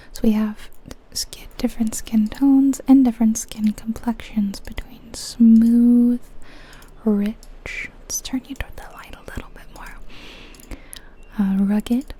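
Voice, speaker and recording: A woman whispering softly and breathily in an ASMR style, gently musing aloud as she comments on a video game. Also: a little high, husky.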